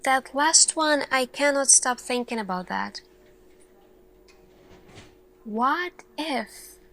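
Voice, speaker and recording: A woman performing an ASMR sci-fi roleplay as an earnest, thoughtful lead scientist, speaking deliberately with pauses. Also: high voice, lively intonation, fairly bright tone. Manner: slow, deliberate, pausing, questioning delivery. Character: earnest, thoughtful female lead scientist addressing her team.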